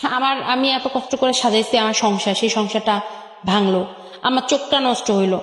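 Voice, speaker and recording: A woman's voice: fairly high pitch, normal speed. A young woman with a Bangladeshi Bengali accent and an electronically altered voice, telling her life story emphatically and repeating phrases. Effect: voice changer on the voice. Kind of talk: personal storytelling on a radio show. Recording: echoey with long reverb.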